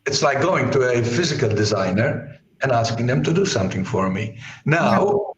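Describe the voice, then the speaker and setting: A man's voice: medium pitch, sing-song, moderate pace, slight background noise. A man in his late sixties speaks as an interview guest in a mid-range voice that has partly given out. He explains things conversationally, often restarting and repeating words. The sound is roomy and echoey. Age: 60s. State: partly lost voice. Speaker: guest, author and executive coach.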